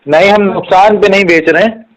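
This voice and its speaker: Male salesman on a phone call, rapid delivery, slightly high voice, courteous yet defensive. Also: a crowd in the background. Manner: polite, defensive, repeatedly interjecting. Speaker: car sales executive. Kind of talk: placating customer phone call.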